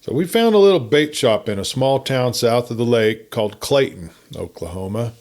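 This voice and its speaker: A man narrating a written campfire story in a folksy, conversational, unhurried manner. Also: mid-range voice, lively intonation, a little mellow. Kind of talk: folksy scripted story reading.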